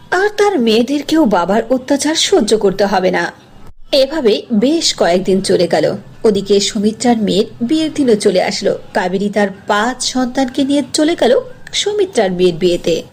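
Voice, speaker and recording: A woman's voice: a little high, very animated, moderate pace, darkish tone, breathy edge. A woman narrating a scripted cartoon story as its storyteller.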